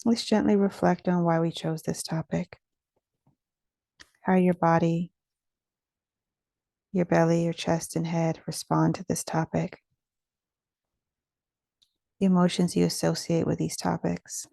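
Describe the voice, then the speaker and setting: A woman leading a guided meditation in a gentle, soothing, measured way, with pauses between phrases. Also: on the low side, level tone, gravelly, no room sound.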